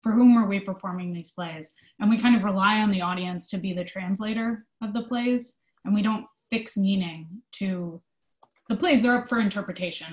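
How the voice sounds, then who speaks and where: A woman giving conversational interview answers as a theatre company manager, casual in her phrasing. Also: medium pitch.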